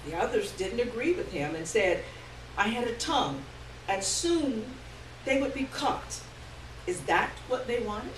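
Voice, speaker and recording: A woman giving an expressive, measured dramatic reading of a first-person story before a live room. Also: on the high side. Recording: distant roomy live recording, faint noise.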